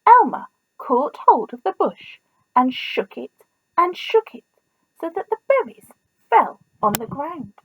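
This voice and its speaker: A woman teacher reading a children's story expressively, in a deliberate manner with pauses. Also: sits high, very animated, a little hiss or hum, low-quality recording. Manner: deliberate, pausing, asks listeners questions.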